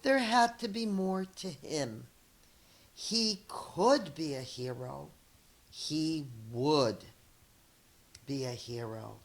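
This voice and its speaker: A middle-aged woman reads a picture book to children like a schoolteacher. Her voice sits slightly low and she goes very slowly and deliberately. She tells it expressively, adding small chatty asides. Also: expressive. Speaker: elementary school teacher reading a story.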